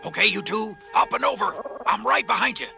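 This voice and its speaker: A gruff, earnest old man speaks in a high, very creaky voice. He is plain-spoken and kindly, with music under this storybook drama. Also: a little fast. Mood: urgent. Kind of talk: dramatised children's read-along storybook.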